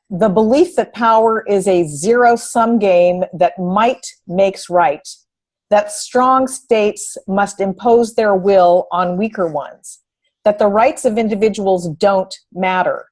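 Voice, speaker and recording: Woman co-host on a talk show, kinda halting, earnest, unscripted discussion style. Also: mid-range voice, a little mellow. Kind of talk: unscripted discussion, reading quotes aloud.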